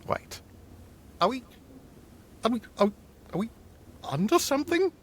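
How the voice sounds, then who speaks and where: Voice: medium pitch, very animated, measured pace. An actor voicing an anxious, panicky piece of bubble gum in a comedic audio drama, stammering and repeating words. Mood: nervous.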